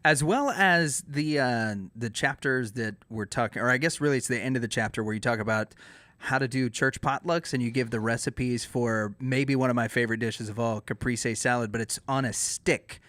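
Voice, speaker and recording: A man of average pitch co-hosts a casual interview show. He comments and asks questions conversationally. The recording is very dry, with no room around the voice. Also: moderate pace, fairly bright tone, no echo. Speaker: podcast co-host, interviewer.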